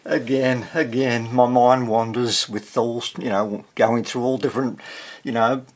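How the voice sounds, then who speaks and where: A man giving casual, hands-on repair commentary as he hosts a video, thinking aloud in a husky voice. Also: mid-range voice, level tone, measured pace. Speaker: vintage radio repair hobbyist hosting video.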